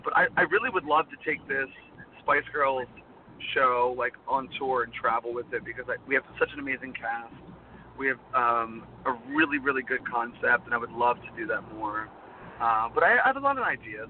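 A man in his thirties, a guest performer, chatting in a rambling way with a voice worn low from screaming. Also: fairly quick. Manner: rambling, heavy use of "like". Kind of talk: casual interview chat. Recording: tinny phone-like line, faint noise.

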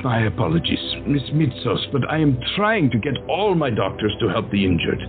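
A man's voice: mid-range voice, big pitch swings, natural voice. A man playing an officious senior doctor. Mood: brisk. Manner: formal, brisk. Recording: lo-fi, phone-like, music behind.

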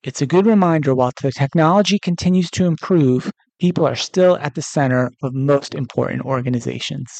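A man's voice: a little high, brisk, creaky, hardly any pauses, a little mellow, no echo. An American man hosting a podcast, reading a scripted news report. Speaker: podcast host.

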